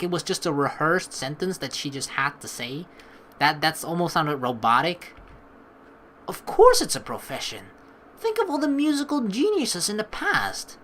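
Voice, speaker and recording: Animated low-voiced woman doing game commentary. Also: normal speed, a little hiss or hum. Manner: animated, informal. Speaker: let's play host. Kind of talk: game commentary mixed with reading dialogue aloud.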